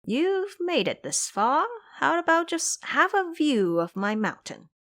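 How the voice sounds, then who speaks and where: A proud, hot-tempered monkey king from a fantasy audio drama, performed by a woman. He sounds boastful, playful and used to command. The pitch is raised and very lively. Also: unhurried, exaggerated voice.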